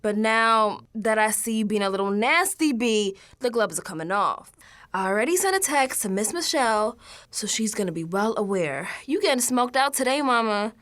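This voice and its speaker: A woman's voice: a little high, normal speed, no room sound. An actress playing an exasperated, sassy young American woman in a colloquial radio drama monologue, slangy and confessional. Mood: threatening, indignant. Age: young adult. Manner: slangy, sassy, animated, sighing asides.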